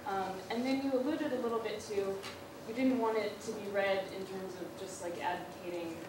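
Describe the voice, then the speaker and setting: A woman's voice: medium pitch, brisk. A woman informally asking an academic question from the audience, tentatively circling her point. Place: large lecture room, from the audience. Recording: very echoey, loud noise, distant pickup.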